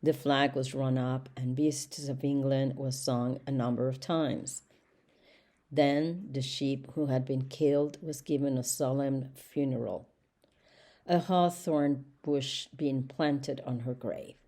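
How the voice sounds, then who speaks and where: A woman reading a novel aloud for an educational recording in a measured, steady way. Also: extremely low pitch. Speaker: audiobook reader.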